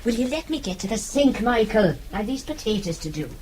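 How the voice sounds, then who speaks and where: Homely irish auntie in a radio play, high and swoopy, fussing, noisy recording. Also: female voice, very animated, fairly quick. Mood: flustered. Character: homely, excitable Irish aunt, pottering about. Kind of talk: radio drama acting.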